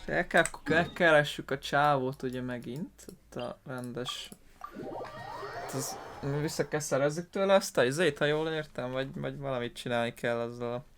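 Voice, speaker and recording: A woman's voice: a bass voice, moderate pace, a bit bright, slight background noise. A woman with a Hungarian accent giving casual, slangy gameplay commentary as a video host, letting thoughts trail off.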